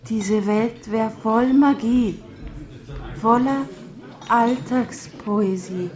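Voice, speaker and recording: A woman's voice: fairly high pitch, extremely slow, darkish tone. An actress playing a dreamy, whimsical woman in a rhymed radio play, murmuring wistfully.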